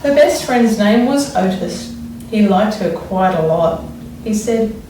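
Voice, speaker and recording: A woman reads aloud to young children like a primary school teacher, with dramatic emphasis and thoughtful explanations. Her pitch is average for a woman. The sound is distant and strongly echoey, with faint noise behind her. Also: animated, warm tone. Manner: deliberate, wondering aloud, dramatic emphasis when reading. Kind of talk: expressive children's read-aloud with think-aloud explanations.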